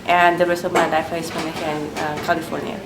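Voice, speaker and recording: A woman from Spain with a Spanish accent, answering interview questions in a halting way as she searches for words. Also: deep voice, little pitch movement. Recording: echoey room with background noise.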